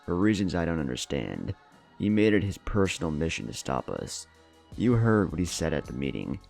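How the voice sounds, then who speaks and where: Male narrator voicing condescending storybook mastermind, short-tempered, menacing, gravelly bass register. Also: very animated, normal speed, darkish tone, soft background music, heavily acted. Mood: scheming. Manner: haughty, menacing. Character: scheming, condescending masked male villain, quick to anger.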